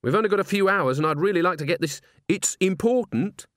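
A man's voice: high-pitched, lively intonation, a little fast, a bit bright, no room sound, clean studio sound, pitched above the performer's own voice. A man voicing an earnest, anxious young woman who speaks hesitantly and doubts herself, in an audiobook story. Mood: urgent. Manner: hesitant, stammering.

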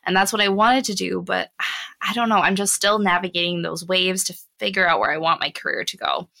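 A woman's voice: fairly low pitch, brisk, gravelly, pushed and bright, no echo. A woman in her twenties with an American accent, talking candidly and reflectively in a casual chat as a podcast co-host.

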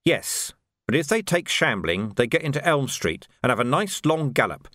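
A man's voice: mid-range voice, very animated, normal speed, a bit bright, dry recording, voice pushed up. A man voicing a world-weary, authoritative watch sergeant in an audiobook, speaking tersely and with dry wit. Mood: firm, commanding. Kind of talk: audiobook character voice.

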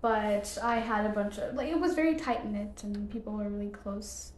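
A woman's voice: mid-range voice, moderate pace, a little mellow, echoey room, slight background noise. A young woman from Ethiopia, a podcast guest, answering casually with many fillers and short laughs. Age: young adult.

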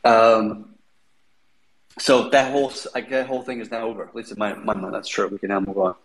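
A man with a somewhat low voice and a sniffly, stuffed-up sound from a cold. He talks through a technical update in a loose, rambling way, full of ums and restarts. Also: little pitch movement, a little fast, rough recording. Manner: rambling, many fillers. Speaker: developer guest.

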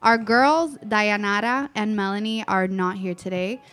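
An upbeat woman hosts a morning radio show in loose, friendly chat. She laughs often and talks at an ordinary mid pitch. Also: steady tone, a little slow, a bit bright.

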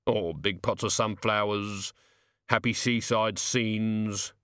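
A man's voice: lowish voice, fairly even intonation, takes it slowly, fairly bright tone, clear, resonant tone, dry recording, studio quality. Man doing a blunt, cheeky little gnome. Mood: cheeky, sceptical. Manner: colloquial, sceptical. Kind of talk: audiobook character reading.

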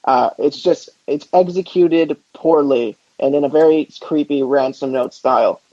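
Mellow higher-voiced man, dull lo-fi podcast audio. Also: a little slow, dry recording. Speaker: podcast co-host. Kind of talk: casual sports podcast chat.

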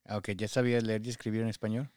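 A man's voice: on the low side, flat delivery, quick pace, darkish tone, dry recording, studio quality. A man hosting a podcast, introducing and interviewing. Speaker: podcast host.

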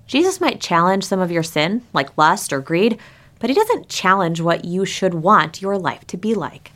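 A woman preaches in a conversational, prepared style, with a medium-pitched voice. She talks straight to her listeners as a pastor would, putting rhetorical questions to them as she explains. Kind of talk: sermon, prepared but conversational delivery.

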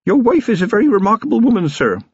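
A man's voice: sits high, sing-song, fast, husky, no echo, lo-fi sound, pitched above the performer's own voice, exaggerated voice. A man voicing a nervous, eager young village policeman who speaks respectfully and hesitantly in an audiobook story. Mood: hesitant, deferential.

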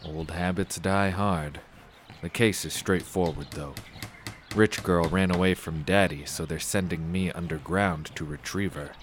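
An actor playing a laconic, wisecracking war veteran turned investigator in a hardboiled 1930s noir audio drama. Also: male voice, low voice, a little slow, a little hiss or hum. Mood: low-key, guarded. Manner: terse, dry, wisecracking, casual slangy phrasing.

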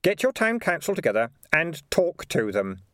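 Brief: slick, threatening male cat, played by the narrator; calm, persuasive. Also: on the high side, moderate pace, no echo, clean studio sound, voice pushed up. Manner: smooth and quietly threatening. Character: cool, menacing male cat with a pipe.

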